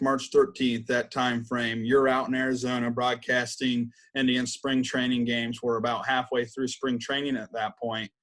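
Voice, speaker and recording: Male podcast interviewer, average-pitched voice, conversational sports-talk delivery. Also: a bit bright, rough recording.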